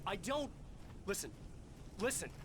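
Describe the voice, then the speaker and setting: An actor playing a distraught writer in danger, speaking with urgent strain. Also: male voice, high-pitched, big pitch swings, measured pace, slight background noise. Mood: desperate, angry.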